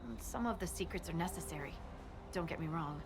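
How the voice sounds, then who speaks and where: An actress playing a cheerful, modest scout in a fantasy video game, speaking informally with wry friendliness. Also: female voice, on the low side, lively intonation, a little fast, gravelly, music in the background. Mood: earnest, reflective. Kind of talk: video game dialogue acting.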